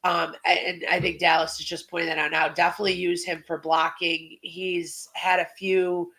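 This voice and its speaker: A woman's voice: fairly low pitch, level tone, moderate pace, fairly bright tone, poor audio. A woman hosting a casual football preview podcast, chatting and asking questions, with a slightly congested voice. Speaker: sports podcast host.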